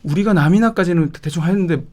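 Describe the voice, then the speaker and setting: A man's voice: sits high, fast. A man from Gangwon's Yeongdong area with a Gangwon accent, chatting on a panel show and acting out dialect phrases. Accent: Gangwon Yeongdong Korean. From Gangwon Province, Yeongdong area. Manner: animated, demonstrates dialect phrases with laughs. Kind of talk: casual panel chat.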